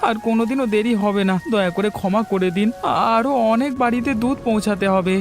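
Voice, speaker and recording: A man plays a poor young milk boy in a village cartoon. The voice is very high and quick, humble and earnest. Faint music sits behind it. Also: lightly acted. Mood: pleading, apologetic. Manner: earnest, pleading. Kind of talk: Bengali cartoon story voice acting.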